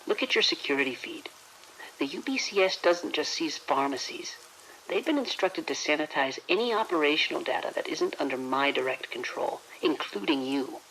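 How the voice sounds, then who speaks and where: A woman playing a cold, quietly menacing corporate villain in a horror audio drama, speaking with measured condescension. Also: low-pitched, lively intonation, a little hiss or hum, poor audio. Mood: condescending, cold. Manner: condescending, measured, veiled threats.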